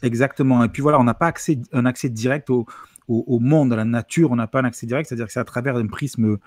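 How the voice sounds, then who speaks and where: A brisk, quick-spoken man lectures in an easy, explanatory way over a video call. He works in lots of asides, and the sound is close and dry with no room around it. His voice sits at a middling pitch. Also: no room sound. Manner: lively, explanatory, fluent with asides. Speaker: university linguistics lecturer, guest. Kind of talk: explaining in a livestream interview.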